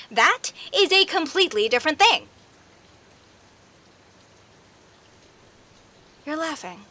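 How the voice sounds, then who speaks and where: Woman acting a bright, unhurried, teasing werecat neighbor. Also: sits high, a little hiss or hum, full character voice. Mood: indignant. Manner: playful, conversational, with hesitant pauses and theatrical emphasis. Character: friendly teasing young werecat woman, chatty neighbor telling her story. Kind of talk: audio roleplay, casual one-sided conversation with the listener.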